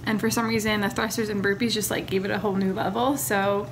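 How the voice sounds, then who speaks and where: A woman's voice: mid-range voice, little pitch movement, quick pace, noisy background. A young woman in her twenties chatting casually to a phone camera held at arm's length in a kitchen, with lots of "like" and fillers. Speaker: vlogger. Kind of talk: casual vlog chat. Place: kitchen of a family home.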